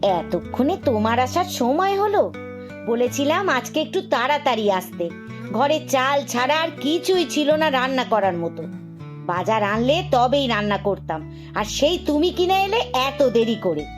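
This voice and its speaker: A woman's voice: high-pitched, big pitch swings, a little slow, music in the background. A woman playing a poor village housewife, a nagging but caring wife, in a fairy tale cartoon with a homely manner. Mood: chiding.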